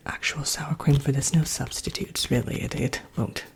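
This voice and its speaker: A woman playing a genteel, drily wicked Evil Queen in a soft, breathy whispered ASMR roleplay, presenting like a workshop teacher. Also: very deep voice, steady tone, normal speed. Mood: drily wicked, instructive. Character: haughty, wicked fairy-tale Evil Queen teaching recipes.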